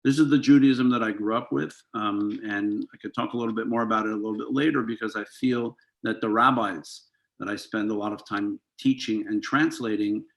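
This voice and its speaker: A man in his fifties with a low, gravelly voice, speaking over a video call. He is a rabbi on a panel, talking reflectively and earnestly, and he pauses often on ums and uhs. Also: steady tone, low-quality recording. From United States. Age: 50s.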